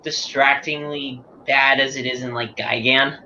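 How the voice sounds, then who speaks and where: A man on a podcast chatting as an animated, laughing fan. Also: mid-range voice, unhurried, noisy background. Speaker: podcast guest. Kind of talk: casual podcast chat. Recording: dull lo-fi audio.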